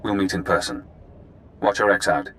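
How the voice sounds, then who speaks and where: An actor playing a cool, calculating imprisoned ex-spy in a video game, speaking in a measured, precise, formal way. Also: male voice, sits low, fairly bright tone, clean tone.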